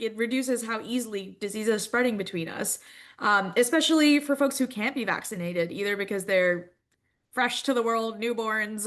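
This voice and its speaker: A woman's voice: on the high side, a bit bright. A woman from India speaking as a panelist in a webinar, conversational, with frequent "like". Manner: conversational, uses "like" often.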